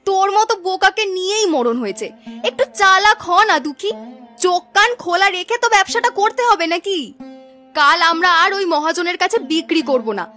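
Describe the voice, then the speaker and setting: Woman voicing a shrill, bossy young village girl. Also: lively intonation, brisk, bright, forceful tone, faint music behind, heavily acted. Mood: scolding. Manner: bossy, argumentative, scolding. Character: greedy, stubborn young village farm girl, scheming granddaughter. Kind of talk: animated moral-story cartoon dialogue.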